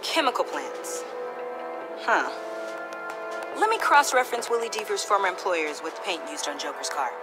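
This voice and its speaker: A woman playing a sharp, self-assured tech-savvy crime-fighting ally in a video game, speaking briskly. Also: medium pitch, sing-song, loud music behind the voice, natural voice. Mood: curious. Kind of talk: video game character acting.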